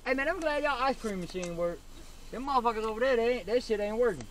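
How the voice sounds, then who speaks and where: A man bantering casually and slangily in deadpan jest as he orders from inside a car. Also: very high voice, fast. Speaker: drive-thru customer and prankster. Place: inside a car at drive-thru. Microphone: camera in back seat. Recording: noisy car interior, engine hum.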